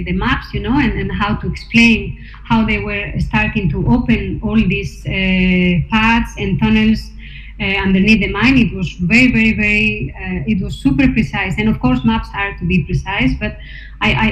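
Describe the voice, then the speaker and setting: A woman artist presenting her research to slides, hesitant, with many fillers and restarts. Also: medium pitch. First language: not Norwegian. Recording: echoey room, dull sound, faint noise.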